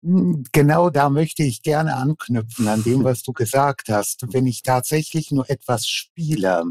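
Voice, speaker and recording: Ein Mann mit mittlerer Stimme argumentiert klar und gut gegliedert. Seine Stimme klingt trocken und nah am Mikrofon. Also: male voice, mid-range voice, lively intonation, a little slow, a little mellow, no room sound, clean studio sound. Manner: articulate, structured delivery. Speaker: panel expert. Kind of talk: panel discussion answer.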